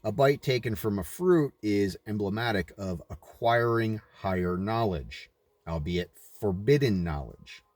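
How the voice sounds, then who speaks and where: A man with a fairly low voice narrates a religious book. His phrasing is deliberate and emphatic, with conversational asides added to the reading. The sound is close and dry. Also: darkish tone, no room sound. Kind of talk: read-aloud narration with informal commentary.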